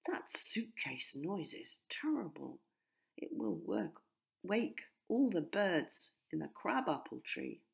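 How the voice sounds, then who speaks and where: A woman voicing a careworn male jackdaw chief in a children's storybook, measured and a little grumbly. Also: lowish voice, very animated, creaky, heavily acted. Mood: relieved grumbling.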